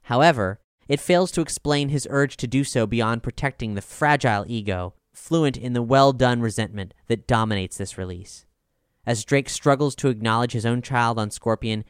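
Male podcast narrator for scripted music reviews: crisp, resonant tone, dry studio sound, polished measured read. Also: medium pitch, no room sound. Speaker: album review reader and podcast host.

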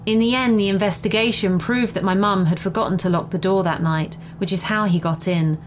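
A woman's voice: mid-range voice, steady tone, fairly quick. A woman reading a first-person scary story as a scripted narration. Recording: faint background noise.

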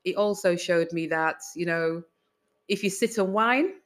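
An older woman from England with a Manchester accent, a guest in a casual interview, telling her story with many "you know"s and pauses. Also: fairly low pitch. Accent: Mancunian English. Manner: reflective, warm storytelling with frequent "you know" and "um".

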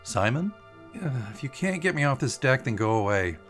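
A man's voice: medium pitch, sing-song, normal speed, a bit bright, voice pushed up. A man playing a grouchy, restless man who grumbles with irritation, in a narrated story with music behind him. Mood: dazed, annoyed. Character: grouchy, restless man nursing martinis on a boat.

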